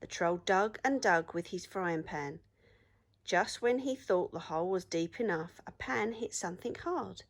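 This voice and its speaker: A woman teacher reading a story aloud to children in an expressive storytelling style. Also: lowish voice, unhurried.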